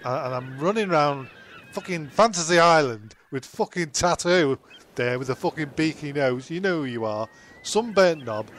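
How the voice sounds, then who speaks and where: A man's voice: a little high, animated, normal speed, fairly bright tone, a little hiss or hum. A man playing a grumpy, vain, foul-mouthed castaway ex-pilot in a comedy audio show, ranting and stumbling over lines. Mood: aggrieved ranting. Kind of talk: comedy audio drama with ad-libs.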